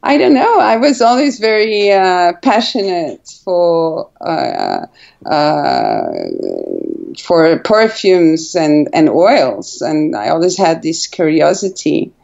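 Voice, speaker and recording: A slow-speaking woman in her forties from Portugal tells her story as an interview guest. She talks hesitantly, full of fillers and restarts, in a slightly low voice. The audio sounds dull and lo-fi. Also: big pitch swings, vocal fry. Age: 40s. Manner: hesitant, many fillers and restarts, laughs softly. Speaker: guest, brand founder. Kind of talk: casual interview.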